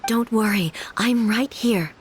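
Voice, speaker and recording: An actress playing a calm, regal female ruler deity in a video game, speaking in a composed, measured, formal way. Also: a little high, slight background noise. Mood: gentle reassurance. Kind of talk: video game character acting.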